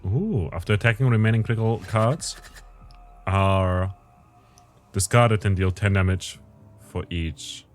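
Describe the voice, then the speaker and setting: A man's voice: on the low side, measured pace, long pauses, soft background music. A man giving casual gameplay commentary, thinking aloud with self-corrections, his voice strained lately.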